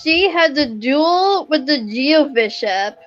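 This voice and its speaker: A woman chatting casually over gameplay, reacting in an expressive, exclamatory way. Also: high-pitched, slow, muffled recording.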